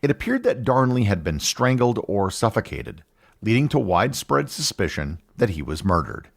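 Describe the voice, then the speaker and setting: A man hosting a history podcast, reading a scripted narration in a measured storytelling manner. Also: big pitch swings, creaky. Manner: measured storytelling with wide pitch swings. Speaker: podcast host.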